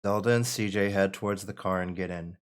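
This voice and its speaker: A man with a fairly low voice narrates a screenplay at a table read. He reads out the scene headings and stage directions as scripted narration. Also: fairly even intonation, a little fast.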